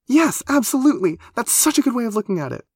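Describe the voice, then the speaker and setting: An excitable teenage monster girl from an audiobook, acted out by a man. The pitch is lifted far up and the delivery is breathless and emphatic. She gushes and stammers nervously. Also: a little fast, no echo, studio quality, natural voice. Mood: relieved, nervous. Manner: gushing, flustered, stammering, emphatic. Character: excitable, nervous teenage girl creature with barbed-wire hair.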